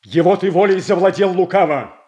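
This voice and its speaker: An actor playing a proud, indignant prince in a classical radio tragedy, declaiming with blunt, weighty deliberation. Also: male voice, high-pitched, a little fast, mellow, lightly acted. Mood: indignant, accusing. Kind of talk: classical Russian stage tragedy acting for radio.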